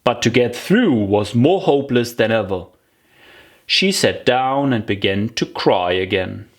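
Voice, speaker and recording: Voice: mid-range voice, lively intonation, a little slow. An audiobook narrator reading a scripted children's story.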